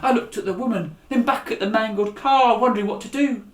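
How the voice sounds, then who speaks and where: Male storyteller for young audiences, animated and direct, recorded with noticeable room echo. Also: high-pitched, brisk. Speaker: author and storyteller. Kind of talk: story reading aloud for young listeners. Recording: echoey room.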